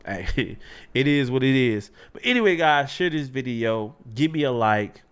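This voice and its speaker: A man's voice: mid-range voice, animated, unhurried, fairly bright tone, clear, resonant tone. A man in an online commentary video, talking in an emphatic, rhetorical, preachy way.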